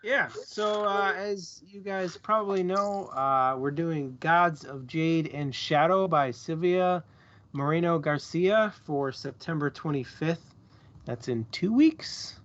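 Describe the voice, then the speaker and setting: A man co-hosting a casual book chat, thinking aloud in short, halting phrases. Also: fairly high pitch, fairly bright tone, dull audio. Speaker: book club show co-host.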